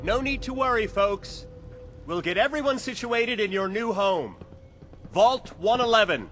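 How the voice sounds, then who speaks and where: An actor playing a reassuring corporate greeter welcoming newcomers in a video game. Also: male voice, high-pitched, animated, measured pace, fairly bright tone, soft background music. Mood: upbeat.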